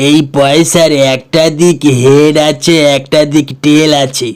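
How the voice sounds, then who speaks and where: A man voicing a village headman in a cartoon, measured, pondering and authoritative. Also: fairly high pitch, steady tone, clean tone, lightly acted. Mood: deliberate. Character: village headman, deliberate and fair-minded elder.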